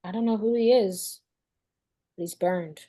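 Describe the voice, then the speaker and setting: A woman's voice: mid-range voice, unhurried, dark tone, natural voice. A woman playing a haggard, haunted, stubborn teenage girl in a horror script table read. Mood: quietly eerie.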